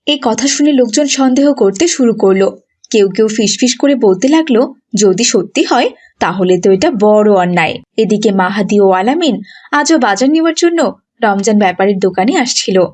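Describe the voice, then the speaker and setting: A woman's voice: medium pitch, expressive, normal speed, a little mellow. A woman giving scripted narration for a children's moral story cartoon.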